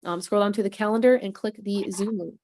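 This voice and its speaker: A woman's voice: medium pitch, steady tone, fairly quick, darkish tone. A woman hosting a casual livestream on a video call. She is chatty and upbeat and tired after a convention weekend. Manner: chatty, upbeat, clears throat between announcements. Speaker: host.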